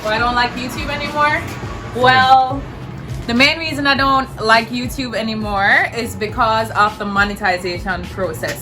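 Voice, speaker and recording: A young woman from Jamaica with a Jamaican accent, chatting casually to camera as a vlogger and repeating words for emphasis. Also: fairly high pitch. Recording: roomy echo.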